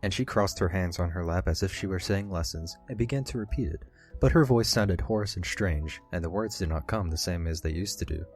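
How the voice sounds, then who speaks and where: Low-voiced man narrating a storybook. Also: fairly quick, a little mellow, faint music behind.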